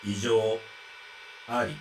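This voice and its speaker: An actor voicing a formal android reciting system reports in a Japanese visual novel, clipped and procedural, with a Japanese accent. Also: male voice, lowish voice, little pitch movement, slow pace, a little hiss or hum.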